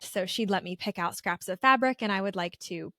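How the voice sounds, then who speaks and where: A woman's voice: mid-range voice, fairly quick, sharp tone. A woman co-hosting a podcast in friendly, informal conversation. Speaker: podcast co-host.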